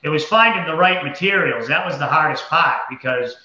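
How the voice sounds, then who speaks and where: A man's voice: on the high side, lively intonation, a little fast, bright, forceful tone. A man with a Massachusetts accent telling his story casually as an interview guest, in a colloquial, folksy way. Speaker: entrepreneur guest. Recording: dull lo-fi, roomy echo.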